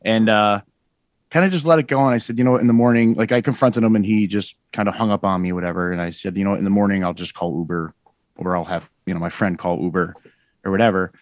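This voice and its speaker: A man's voice: fairly low pitch, fairly even intonation, fast talker, no echo, clean studio sound. A man in his forties, a guest musician, telling stories in loose, rambling podcast chat full of fillers. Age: 40s. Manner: rambling storyteller, many fillers and self-corrections.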